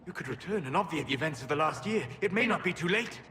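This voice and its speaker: An actor playing a grave, dying ally in a video game, speaking in a formal, precise and intense way. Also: male voice, on the high side, lively intonation, quick pace, sharp tone, a little hiss or hum. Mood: grave, urgent. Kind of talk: dramatic video game cutscene acting. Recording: echoey hall with faint music.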